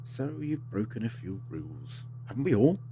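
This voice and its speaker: An actor playing a brisk, articulate time-traveling adventurer in an audio drama, with a measured, wryly reassuring manner. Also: male voice, fairly low pitch, very animated, noisy background.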